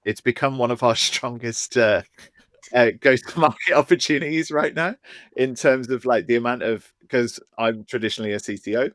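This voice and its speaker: A man's voice: mid-range voice, normal speed, a bit bright, no echo. A man from England co-hosting a casual podcast, easygoing, with stammering restarts. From England, not London.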